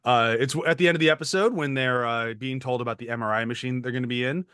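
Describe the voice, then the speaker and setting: Podcast co-host, male, mid-pitched, rambling and chuckly, dry close mic. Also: quick pace, fairly bright tone, smooth, no echo. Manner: rambling, laughs while talking. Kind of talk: casual chat.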